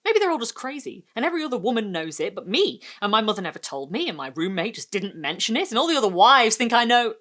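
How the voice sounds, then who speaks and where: A woman's voice: fairly high pitch, big pitch swings, fast talker, few pauses, fairly bright tone. A woman voicing a seething, frantic housewife thinking to herself in a dramatic short story reading. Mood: exasperated. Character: tense, seething housewife with frantic inner thoughts.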